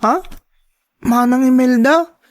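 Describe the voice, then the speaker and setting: Young child, high-pitched, innocent, caring, questioning, earnest radio drama delivery. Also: female voice, slow. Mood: concerned.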